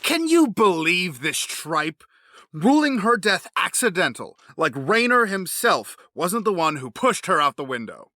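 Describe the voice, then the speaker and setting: A man's voice: high-pitched, sing-song, unhurried, pushed and bright, dry recording, studio quality, exaggerated voice. A man playing a grieving, bitter, brooding figure in an audio drama, grave and intense in delivery. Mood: bitter, angry.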